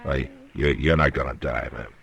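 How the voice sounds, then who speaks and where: An actor playing a grave, steady Old West lawman in an old radio western, speaking in measured, terse phrases with hesitant pauses. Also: vocal fry, exaggerated voice. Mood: concerned. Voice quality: very deep voice. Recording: old radio drama recording.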